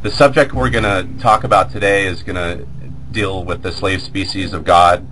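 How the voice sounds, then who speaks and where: A man's voice: sits low, steady tone, moderate pace, pushed and bright. A man hosting an internet radio show, introducing an interview in an informal, unscripted way.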